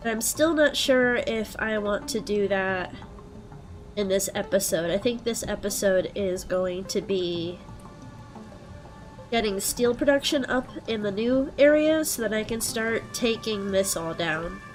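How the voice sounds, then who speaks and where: A young woman with a head cold and a stuffed-up nose, chatting casually through gameplay and thinking out loud with plenty of ums. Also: medium pitch, unhurried, faint music behind, low-quality recording. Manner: relaxed, thinking aloud with frequent ums. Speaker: let's play host.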